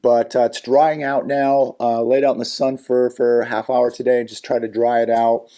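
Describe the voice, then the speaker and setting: A man with a hoarse, raspy voice from a sinus infection, hosting a solo commentary vlog in an earnest, cautionary way with many fillers and repeated words. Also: mid-range voice, level tone, normal speed, warm tone. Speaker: channel host and economy commentator.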